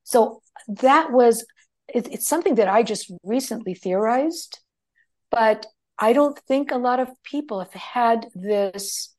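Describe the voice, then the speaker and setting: Middle-aged woman, greek first language, slow unhurried talk, lots of you knows and restarts. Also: fairly high pitch, a little mellow. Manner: rambling, many restarts and fillers. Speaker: guest. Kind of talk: conversational storytelling.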